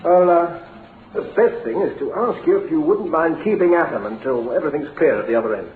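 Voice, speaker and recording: A man's voice: on the high side, expressive, brisk, natural voice. An actor playing a glib, patronising businessman with a falsely encouraging, jovial manner in an old-time radio drama. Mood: brisk, coaxing. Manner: hearty, patronising, chuckling. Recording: lo-fi narrow-band radio recording, faint noise, roomy.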